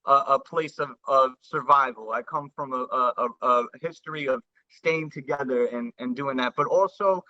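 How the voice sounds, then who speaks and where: A man in his thirties from the New York and New Jersey area, a rapper telling his story in a casual interview, earnest and halting with many restarts. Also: mid-range voice, pushed and bright. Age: 30s. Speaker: rapper guest. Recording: dull lo-fi sound.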